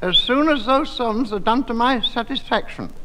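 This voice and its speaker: An actor playing a pompous, strict and exasperated schoolmaster in 1960s radio comedy style. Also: male voice, extremely high pitch, animated, moderate pace. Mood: stern. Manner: pedantic, stern, exasperated. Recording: noisy old radio recording.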